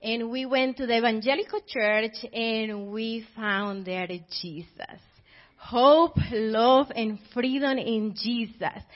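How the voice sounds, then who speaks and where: A Colombian woman in her thirties with a Colombian Spanish accent, speaking as a missionary at church, halting with fillers and laughing. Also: a little high, muffled recording. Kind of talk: church testimony.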